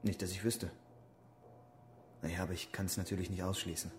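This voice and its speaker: An actor playing an earnest, defensive young army conscript in a radio crime drama, speaking hesitantly. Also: male voice, low voice, flat delivery, fairly quick, background noise, close to an everyday voice. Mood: loyal, insistent. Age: young adult.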